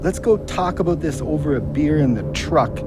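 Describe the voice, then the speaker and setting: A man's voice: fairly high pitch, animated, normal speed, over music, natural voice. An actor playing a guilt-ridden, haunted drinking man in an audio drama, pleading in colloquial speech. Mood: uneasy, persuading. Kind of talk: emotional audio drama acting.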